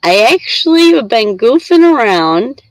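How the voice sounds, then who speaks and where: A congested middle-aged woman hosts a casual live stream, chatting and rambling to her viewers. She talks at a slow, easy pace with plenty of pauses. The sound is dry and close, with no room echo. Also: a little high, big pitch swings. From Michigan. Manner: chatty, rambling, reads chat aloud. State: congested with a head cold.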